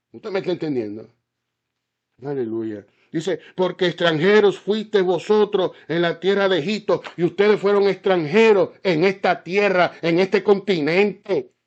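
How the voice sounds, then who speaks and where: A man preaching a fiery sermon, often stopping to ask whether his listeners understand, with stammered restarts and repeated words. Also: on the high side. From Caribbean island. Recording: slightly dull, lo-fi sound.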